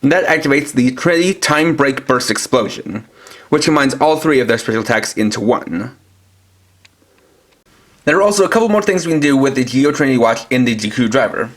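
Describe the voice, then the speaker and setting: A man's voice: mid-range voice, animated, a little fast, fairly bright tone. A man giving a step-by-step, explanatory product demonstration as a toy reviewer.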